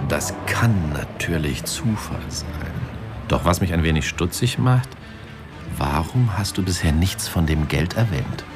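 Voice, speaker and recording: An actor playing a methodical, suspicious police detective in a radio drama, speaking in a measured, dry way. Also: male voice, low voice, heavily acted. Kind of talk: German radio drama acting.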